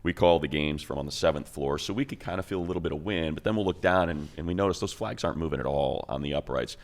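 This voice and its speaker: A man's voice: very deep voice, quick pace, creaky. An American man hosting a sports show, asking questions in a conversational interview style. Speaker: sports show host and game announcer.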